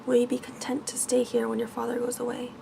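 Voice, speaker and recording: A stern but courteous beast lord of a storybook palace, read out by a woman, speaks in calm, formal commands. The voice is soft and creaky, with noticeable noise in the recording. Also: fairly high pitch, quick pace. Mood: calm, commanding. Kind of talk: bedtime storybook reading.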